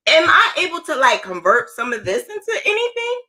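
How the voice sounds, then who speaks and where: A woman from New Jersey chatting casually on a livestream, asking questions and laughing easily. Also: very high-pitched. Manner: lively, curious, quick to laugh. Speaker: livestream host.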